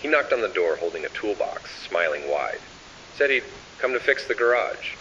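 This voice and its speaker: Dull, hissy recording, male horror narrator, bright tense edge, expressive intonation, ominous deliberate delivery, scripted and addressed to the listener. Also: on the low side, far from the mic.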